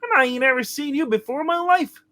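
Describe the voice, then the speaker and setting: A man voicing a tipsy, puzzled, gruff cab driver in an amateur comic play reading. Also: very high voice, fast, pushed and bright. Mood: wary.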